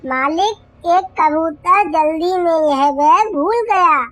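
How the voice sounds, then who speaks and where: A woman's voice: very high voice, slow pace, fairly bright tone, no echo, full character voice. A woman voicing an honest, polite, hardworking young boy bird in a Hindi children's cartoon. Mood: earnest. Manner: polite, earnest.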